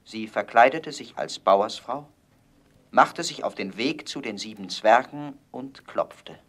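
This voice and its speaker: A man narrating a fairy tale in a scripted audio play with measured storytelling. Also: medium pitch, a bit bright, a little hiss or hum.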